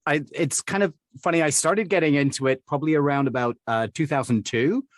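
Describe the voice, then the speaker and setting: A man's voice: a little high, brisk, no echo, studio quality. A middle-aged man with an English accent, a dialect coach telling his story as a guest in a casual interview, rambling with lots of fillers and small laughs. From England.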